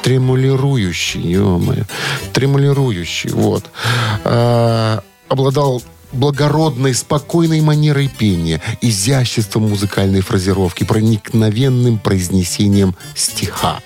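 A man's voice: mid-range voice, measured pace, gravelly, darkish tone, faint music behind. A man hosting a radio quiz in a casual, chatty, hesitant and informal manner. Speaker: radio show host running a music quiz.

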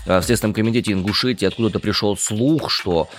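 Low deep-voiced guy co-hosting a news chat, thinks out loud, hesitates a bit. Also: quick pace, soft background music. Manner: reflective, thinking aloud with hesitations. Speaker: news show co-host. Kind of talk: conversational news discussion.

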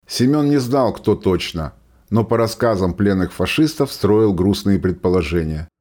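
Guy narrating a war story for radio drama, lowish voice, scripted read. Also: expressive, normal speed, darkish tone.

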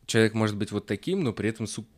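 A man with a moderately low voice in an informal podcast chat. He explains things step by step, often checking back with the others. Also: lively intonation, racing. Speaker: podcast guest. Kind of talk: casual chat.

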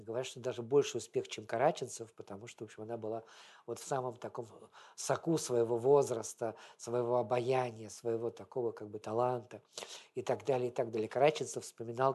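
A man's voice: mid-range voice, fairly even intonation, a little fast, a little mellow. Rambling male lecturer telling theatre anecdotes. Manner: rambling, digressive, conversational. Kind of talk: informal public lecture with anecdotes.

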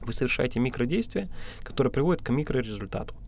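A man giving informal motivational advice as a podcast coach, halting and repeating himself, often checking in with the listener. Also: medium pitch, lively intonation, creaky, a little mellow, slight background noise.

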